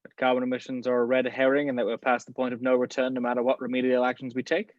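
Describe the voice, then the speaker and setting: A man playing a polished gentleman spy. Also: mid-range voice, monotone, fast, dry recording, natural voice. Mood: suave. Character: suave, polished gentleman spy, middle-aged. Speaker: table read actor. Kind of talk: amateur online table read. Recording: dry, dull home mic.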